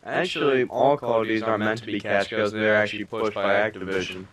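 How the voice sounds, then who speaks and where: Young gamer host with a lowish voice. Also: male voice, steady tone, fast, fairly bright tone. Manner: chatty, jokey, reacts to the game. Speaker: channel host. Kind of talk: casual gaming commentary.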